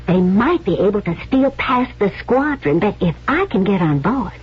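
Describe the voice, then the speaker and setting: A woman's voice: mid-range voice, sing-song, moderate pace, husky, soft background music. An actress playing a bold, cunning woman spy in a radio drama, resolute and persuasive. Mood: determined, scheming. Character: bold, cunning female actress turned Civil War spy. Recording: muffled vintage radio recording.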